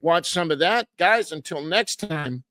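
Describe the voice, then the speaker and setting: A man's voice: a little high, lively intonation, measured pace, pushed and bright, no room sound. Folksy man rambling through a sports recap. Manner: rambling, with frequent fillers and stumbles over names; self-deprecating, folksy. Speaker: podcast host recapping minor league games.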